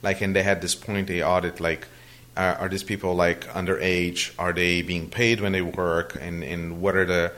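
A man's voice: low voice, level tone, normal speed, few pauses, fairly bright tone. A Brazilian man, native Portuguese speaker, answering interview questions in a hesitant conversational way, often restarting his sentences. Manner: hesitant, many restarts and fillers.

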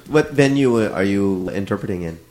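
Male interviewer, deep voice, fast talker, short questions. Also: lively intonation, a little mellow. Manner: brief backchannel remarks. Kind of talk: casual interview.

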